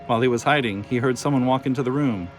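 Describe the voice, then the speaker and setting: A man's voice: mid-range voice, fast talker. Man reading children's stories over music. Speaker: storybook reader. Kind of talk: expressive children's story narration.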